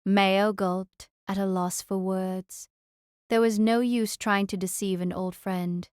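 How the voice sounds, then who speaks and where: Female audiobook narrator, mid-pitched, reading a fantasy novel in a dry, close recording. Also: steady tone, slow, a little mellow, smooth, no room sound.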